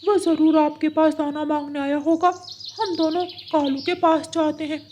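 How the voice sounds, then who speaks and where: A woman voicing a small friendly but indignant parrot in a cartoon story, loud and exclamatory. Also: sits high, level tone, moderate pace, slight background noise, natural voice. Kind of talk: Hindi cartoon story dialogue.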